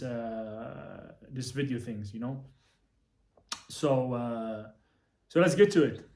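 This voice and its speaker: A young man from Morocco telling his life story casually to the camera, repeating himself and swearing now and then. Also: medium pitch. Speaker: vlogger, coach. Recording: echoey room.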